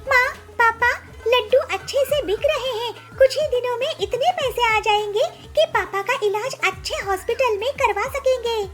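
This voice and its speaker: A cheerful young bird girl with an extremely high, tiny voice. She is a devoted daughter in a children's cartoon, with music playing behind her. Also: moderate pace, few pauses. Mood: happy, grateful. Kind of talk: Hindi children's cartoon story dialogue.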